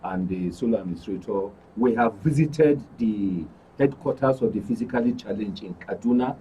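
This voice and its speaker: A man's voice: fairly low pitch, normal speed, dark tone, lo-fi sound. A man with a Nigerian accent explaining things as a studio guest, recorded a little away from the microphone with faint noise. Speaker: guild official, studio guest. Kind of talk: explanatory interview talk. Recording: distant mic, faint noise.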